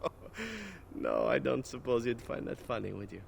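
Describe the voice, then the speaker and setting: An actor voicing a charming, glib male elf assassin in a video game, smooth and playfully teasing. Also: mid-range voice, lively intonation, normal speed. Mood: sly. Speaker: game character.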